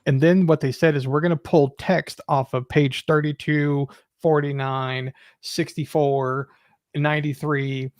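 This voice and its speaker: A man's voice: a little high, level tone, measured pace, no room sound, clean studio sound. A man explaining things informally in a casual panel discussion, peppering his talk with "like". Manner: informal explaining, frequent "like" as he talks.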